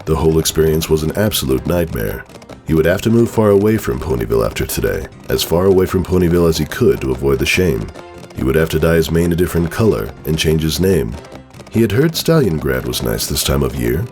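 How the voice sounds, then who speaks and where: A man's voice: very deep voice, sing-song, fairly quick, darkish tone, soft background music. A man giving a dramatic storybook narration of a fan fiction tale.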